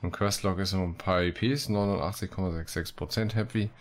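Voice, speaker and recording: Ein Mann kommentiert locker und scherzhaft sein Spiel, murmelt vor sich hin und liest Quests vor. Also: male voice, sits low, steady tone, fairly quick. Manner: joking, muttering to himself. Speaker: Let's Play host. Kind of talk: casual gaming commentary with read-out quests. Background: game music.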